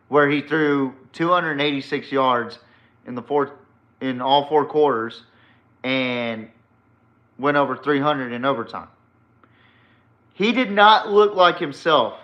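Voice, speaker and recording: A man delivers blunt, opinionated sports commentary as a podcast host. His voice is forceful and sharp at a middle pitch, and he takes his time, leaning on his points. The recording sounds a little dull and lo-fi. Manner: blunt, emphatic, swears casually.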